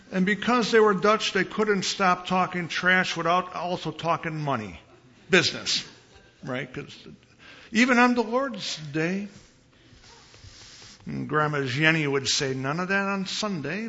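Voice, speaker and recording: A man from Chicago preaching as a visiting pastor in a church, with a big voice and an unhurried, conversational manner, pausing and repeating phrases for emphasis. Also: high-pitched, animated, creaky, sharp tone, highs cut off. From Chicago, United States. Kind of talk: conversational sermon. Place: church sanctuary.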